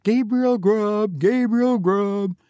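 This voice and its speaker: A wild chorus of goblin spirits from a storybook, put on by a male narrator. The voice is high and very slow, a taunting, wailing chant that stretches every word. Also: mellow, clean tone, no room sound, muffled recording. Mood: wild, accusing. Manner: drawn-out, wailing, screamed chant.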